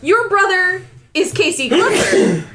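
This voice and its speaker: A woman's voice: extremely high pitch, big pitch swings, unhurried, a crowd in the background. A woman playing a tabletop roleplaying game, bantering casually with a playful, informal manner.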